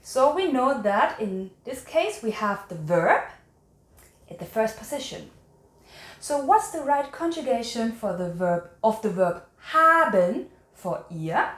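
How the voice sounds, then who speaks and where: A woman's voice: fairly high pitch, big pitch swings, takes it slowly, a bit bright, echoey room. A woman teaching a language quiz to viewers, instructive, repeating and carefully enunciating her example sentences. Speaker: language teacher and channel host.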